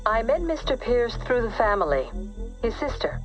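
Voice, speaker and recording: A guarded psychologist, speaks with lively pitch over music. She is careful and self-assured, like a TV interview guest in a game. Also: normal speed, highs cut off. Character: guarded female psychologist and author being interviewed.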